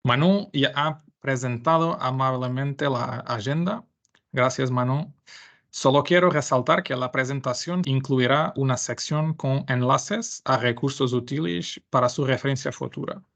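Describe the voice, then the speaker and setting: A man with a Portuguese accent giving a webinar presentation in Spanish, his second language. His voice is gravelly and sits at a middle pitch. He speaks carefully and sometimes stops to restart a phrase. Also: a bit bright. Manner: careful, slightly halting non-native Spanish. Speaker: webinar presenter, information management adviser.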